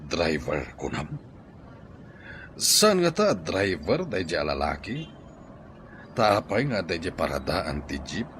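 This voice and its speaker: A man's voice: low voice, big pitch swings, slow pace, pauses often, a bit bright, slight background noise, heavily acted. A man with a Filipino accent playing a wary, scheming character in a radio drama. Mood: suspicious, wary.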